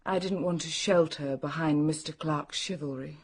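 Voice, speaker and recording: An actress playing a composed, proud young woman in a radio drama, speaking in a poised, deliberate, formal way. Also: low-pitched, breathy edge. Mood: wounded dignity.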